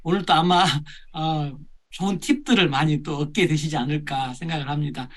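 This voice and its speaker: A man's voice: a little high, fairly even intonation, a little fast. A man hosting an online session, formally and politely introducing the speaker with occasional hesitant fillers. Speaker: session host.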